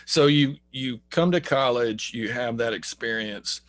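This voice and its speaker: A middle-aged man hosting a casual interview, chatty and friendly, who clears his throat often. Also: medium pitch, a little slow, a bit bright. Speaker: interviewer, host.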